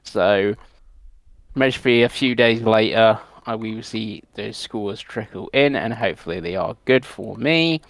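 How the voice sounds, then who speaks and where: A man's voice: fairly low pitch, unhurried, dry recording. A man co-hosting a casual gaming podcast, reading out gaming news in a chatty, rambling way. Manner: chatty, rambling delivery with laughs.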